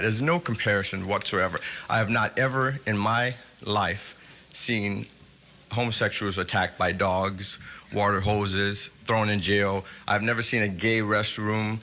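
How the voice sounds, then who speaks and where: A middle-aged man giving public testimony at a hearing, indignant and earnest, with halting fillers. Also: lowish voice, bright, forceful tone, a little hiss or hum.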